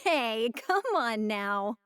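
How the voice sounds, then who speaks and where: A woman's voice: fairly high pitch, expressive, slow pace, a bit bright, clear, resonant tone, no room sound, clean studio sound. An actress voicing a sweet, curious young woman in an anime game, musing in a good-natured way. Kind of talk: scripted game voice lines.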